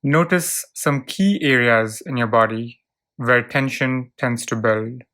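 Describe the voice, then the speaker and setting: A narrator leading a guided visualization in a calm, soothing, measured way, leaving long pauses between short phrases. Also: medium pitch. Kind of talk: guided visualization, relaxation script.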